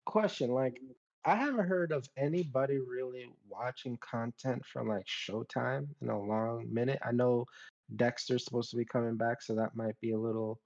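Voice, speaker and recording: Male podcast co-host, average pitch, casual and questioning, slightly muffled lo-fi audio. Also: steady tone, moderate pace, a little mellow. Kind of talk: casual group chat.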